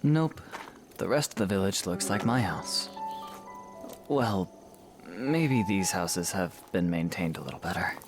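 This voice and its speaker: A man's voice: low voice, lively intonation, unhurried, long pauses, music in the background. A man playing a protective young knight hero in an audio drama, earnest and terse. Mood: matter-of-fact. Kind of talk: fan audio drama acting.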